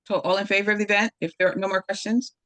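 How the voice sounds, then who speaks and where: A woman chairing a city committee meeting by remote call, running votes briskly. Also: medium pitch, fairly even intonation, a bit bright, no room sound. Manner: brisk procedural.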